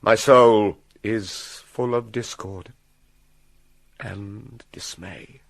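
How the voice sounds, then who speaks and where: A man's voice: on the low side, fairly even intonation, extremely slow. An actor playing a troubled, calculating king with a British accent, grave and urgent in classical radio drama style.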